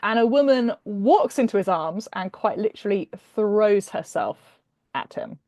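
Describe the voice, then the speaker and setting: A British woman with a middle-pitched voice, speaking as a guest author. She moves between conversational explanation and expressive storytelling, and the recording is close and dry. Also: measured pace, dry recording. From Britain. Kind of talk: conversational introduction and expressive book reading.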